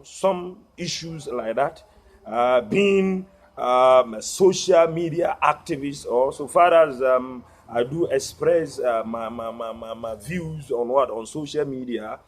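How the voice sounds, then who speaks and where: A Ghanaian man, a social media activist, talking to his viewers in a halting way, with many "uh" fillers, repeated words and a habit of asking "what" before the key word. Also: mid-range voice. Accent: Ghanaian English. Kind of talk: monologue addressed to viewers.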